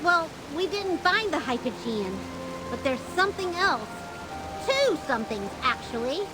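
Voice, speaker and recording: A woman's voice: sits high, very animated, a little slow, over music, low-quality recording. A woman voicing a small, earnest creature sidekick in a scripted game story, speaking of themself in the third person. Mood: uneasy.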